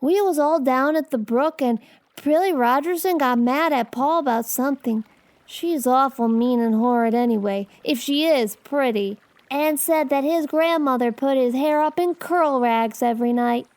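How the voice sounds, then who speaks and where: A woman's voice: sits high, normal speed, darkish tone, no room sound, lightly acted. A woman voicing a talkative eight-year-old boy in an audiobook, earnest, mischievous and curious, with childlike, slangy speech. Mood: excited storytelling. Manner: childlike chatter with slangy, ungrammatical wording. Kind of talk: dramatized audiobook character acting.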